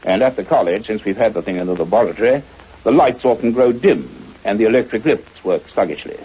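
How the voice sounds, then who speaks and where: A man's voice: lowish voice, expressive, brisk, warm tone, husky. A man acting an earnest, methodical scientist in a radio play, speaking formally and precisely. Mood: measured explaining. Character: earnest, methodical male scientist, a doctor who narrates. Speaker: actor playing the lead and narrator. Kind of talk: radio drama acting and scripted narration. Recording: muffled radio recording with faint noise.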